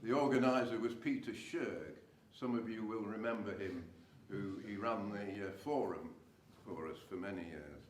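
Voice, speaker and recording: A man's voice: lowish voice, normal speed, hardly any pauses. A man giving a prepared report to a club meeting. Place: echoey hotel meeting room. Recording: echoey room, distant mic, faint noise.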